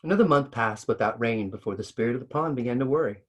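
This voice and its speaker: A man reading a children's story aloud with expressive narration. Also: mid-range voice, quick pace. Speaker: story reader.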